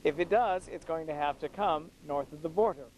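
A man delivering a scripted arts news report as a correspondent, in a measured, polished way. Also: on the high side, a little mellow, slight background noise, low-quality recording.

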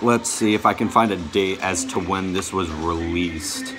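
A young man giving a casual show-and-tell to camera, halting and often correcting himself. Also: low-pitched, music in the background. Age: young adult. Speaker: vlogger showing a music collection. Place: small bedroom, indoors.

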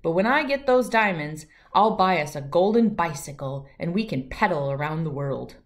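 A woman's voice: low voice, expressive, normal speed. Boastful storybook gnu, read by a woman. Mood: dreamy, boastful. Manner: boastful, dismissive. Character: boastful, dreamy, lazy male gnu friend. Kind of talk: children's storybook character voice.

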